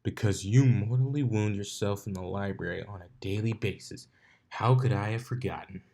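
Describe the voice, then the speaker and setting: A man's voice: on the low side, moderate pace, mellow, lightly acted. A man voicing a put-upon young male dragon assistant, grumbling and sarcastic.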